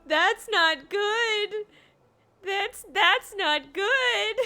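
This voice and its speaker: A woman's voice: very high voice, very slow, pushed and bright. A woman live-streaming a game, reacting aloud with nervous laughter and dramatic dread. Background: game music.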